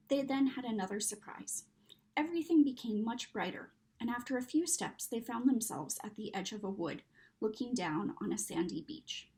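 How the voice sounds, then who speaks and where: A woman reading a children's story aloud in an expressive, dramatic narrating style. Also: fairly high pitch, poor audio. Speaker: story reader.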